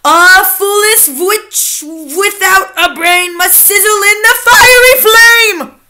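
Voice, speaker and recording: A raging bossy witch for a kids book, super high and screamy, drags words out. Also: pushed and bright, full character voice. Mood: menacing. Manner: screaming, imperious, drawn-out menacing delivery. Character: furious tyrannical female Grand High Witch.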